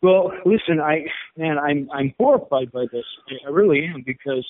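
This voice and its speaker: A man's voice: fairly high pitch, expressive, moderate pace, noisy background. A man in his fifties with a Chicago accent, stammering and upset as he talks on a phone call. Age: 50s. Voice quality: stammering, repeats words. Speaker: caller. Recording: tinny phone line.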